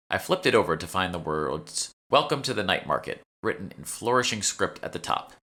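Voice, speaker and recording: A man reads first-person fiction in a low voice. His narration is scripted and expressive, with lively movement in pitch. The tone is bright and forceful. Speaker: author reading own audiobook.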